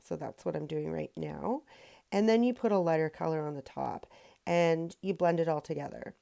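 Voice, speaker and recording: Low, gravelly woman with a cold-raspy voice. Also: moderate pace. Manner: relaxed, chatty, many ums and uhs, self-correcting. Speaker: rock painting tutorial host. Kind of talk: casual voice-over painting tutorial.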